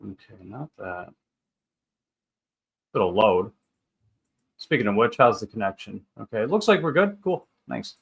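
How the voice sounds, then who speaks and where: Bright-voiced thirty-year-old man chatting casually. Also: lowish voice, lively intonation, a little slow, vocal fry, pauses often, husky. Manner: rambling, upbeat banter with catchphrases. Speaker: livestream host. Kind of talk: casual livestream chat, reading and answering viewer comments.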